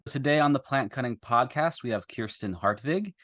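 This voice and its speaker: A man co-hosting a podcast who introduces the guest in a relaxed, friendly way. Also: mid-range voice, normal speed. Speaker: podcast co-host. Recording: dry close sound, faint music behind.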